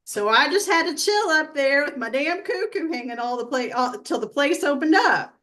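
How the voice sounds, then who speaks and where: A woman playing a brash, earthy abductee in a comedy sketch read, telling it in a blunt, folksy, deadpan way. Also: sits high, moderate pace. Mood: annoyed.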